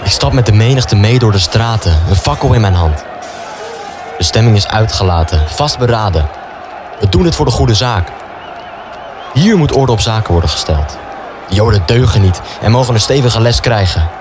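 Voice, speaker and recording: A man acting an earnest, low-voiced young student. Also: expressive, moderate pace, music in the background, a crowd in the background, lightly acted. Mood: resolute, then doubting. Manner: earnest, self-justifying. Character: stubborn, idealistic young male student drawn to fascism. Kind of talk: radio play dialogue and inner monologue.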